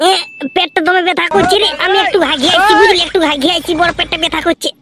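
An actor voicing a lazy, sly young village labourer in a comedy cartoon, whiny and argumentative, with a pitched-up effect. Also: steady tone, very fast, a loud crowd.

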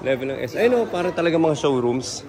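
A man's voice: on the high side, expressive, fast, crowd noise. A Filipino man in his thirties giving a chatty, teasing tour of a big indoor furniture mall, recorded on a camera held close as he walks. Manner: chatty, teasing, tour-guide banter mixing Tagalog and English. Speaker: vlogger. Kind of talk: casual vlog narration. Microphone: handheld camera held close.

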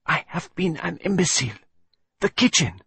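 Man voicing a vain, fussy middle-aged detective, precise and theatrical, husky, dry audiobook sound. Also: on the high side, sing-song, moderate pace, sharp tone, dry recording, pitched above the performer's own voice, full character voice. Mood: excited. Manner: precise, theatrical, self-assured.

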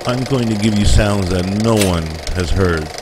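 A noisy recording carries a male narrator in a lowered voice. He reads dramatic documentary script gravely, with deliberate phrasing.

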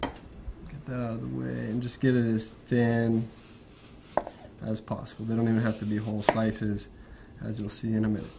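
A man's voice: lowish voice, normal speed, vocal fry, pauses often, heavy background noise. A young man hosting a cooking show, talking casually step by step as he cooks in a home kitchen. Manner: relaxed step-by-step narration while cooking. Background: frying and sizzling from the pan.

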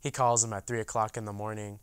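A man's voice: lowish voice, level tone, quick pace, clean tone, no room sound, lightly acted. A young man playing a proud union boycott veteran in a theatrical, first-person storytelling monologue. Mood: reflective, proud. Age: young adult. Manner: theatrical storytelling, acts out dialogue. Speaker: actor in oral history dramatization.

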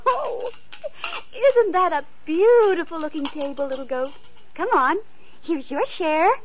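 A woman playing a gentle, kind young girl in a vintage radio play, speaking sweetly and coaxingly. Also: extremely high pitch, lively intonation, slow pace, a little hiss or hum, heavily acted. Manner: sing-song, sweet and coaxing. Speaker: radio actress. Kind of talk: 1940s radio acting. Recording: muffled old radio recording.

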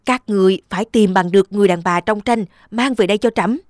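A woman's voice: medium pitch, quick pace, darkish tone, dry recording, studio quality, lightly acted. A woman voicing a pompous, covetous middle-aged emperor with a commanding manner in a cartoon folktale.

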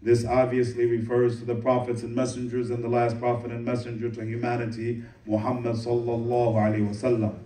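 A man preaches a Friday sermon at a quick clip, his low, mellow voice staying fairly flat. He rattles off Arabic verses and then explains them in English. The sound is roomy and heard from some distance in a mosque hall. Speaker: imam giving Friday sermon. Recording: echoey room, voice from a distance.

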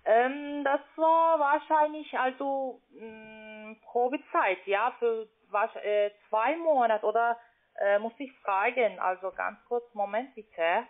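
A call centre agent, a woman speaking with a foreign, non-native accent, halting and searching for words on a phone call. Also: a little high. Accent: foreign, non-native German. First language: not German. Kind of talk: unscripted phone sales call. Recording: narrow tinny phone line.

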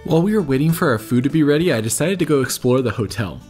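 A man's voice: medium pitch, lively intonation, fast talker, a little mellow, faint music behind. Man narrating a travel voice-over. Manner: informative, recommending tips to viewers. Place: voice-over recorded apart from footage.

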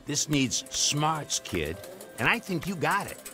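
A man's voice: on the high side, big pitch swings, slow pace, over music. An actor playing a pushy streetwise crook in a radio crime drama, with clipped, slangy wise-guy talk. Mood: impatient, coaxing. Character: brash male crook, pushy streetwise recruiter of criminals.

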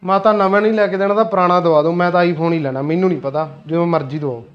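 Man as whiny spoiled son, high-pitched, fast, lo-fi sound. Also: steady tone, clean tone, natural voice. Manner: whines and pleads. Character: spoiled young adult son, whiny and demanding.

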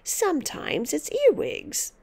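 A woman's voice: high-pitched, wildly swooping pitch, slow, vocal fry, a little mellow, voice pushed up, full character voice. A woman voicing a tiny, bossy, mean old grandmother who scolds and snaps with gloating emphasis in a storybook reading. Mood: gloating relish.

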